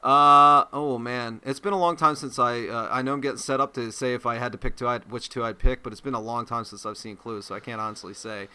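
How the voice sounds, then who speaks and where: A man's voice: medium pitch, steady tone, brisk, few pauses, bright, forceful tone, smooth. A man on a comedy panel, riffing loosely and thinking aloud with fillers. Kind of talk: casual comedic banter.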